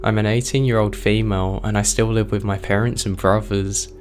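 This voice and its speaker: A man with a strained, hoarse voice, reading true horror stories aloud as the host of his channel at a steady pace. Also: on the low side, a little fast, slight background noise. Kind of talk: scripted horror story narration.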